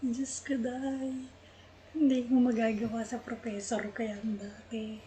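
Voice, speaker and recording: A woman's voice: fairly high pitch, flat delivery, unhurried, dark tone, a little hiss or hum. A young adult woman with a Filipino accent, chatting casually as she streams a game. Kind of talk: casual live-stream chat while gaming.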